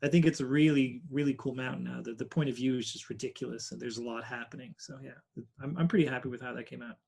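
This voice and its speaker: A man with a Dutch accent answering interview questions thoughtfully over a video call, often trailing off quieter. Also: mid-range voice, steady tone, fast talker, creaky, husky. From Netherlands. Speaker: guest artist.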